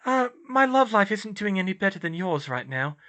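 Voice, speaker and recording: A man's voice: sits high, big pitch swings, normal speed, bright, forceful tone, pitched above the performer's own voice, exaggerated voice. A man voicing an earnest, brainy teenage girl in a story, flustered and prone to babbling. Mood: candid, indignant. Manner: stammering, babbling, indignant bursts.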